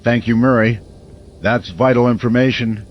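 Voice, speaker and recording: A slightly dull, lo-fi broadcast of a community radio host, played by a man. His medium-pitched voice is steady and measured. He speaks reassuringly, as a crisis anchor in a radio drama. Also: slight background noise, close to an everyday voice. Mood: solemn, steady.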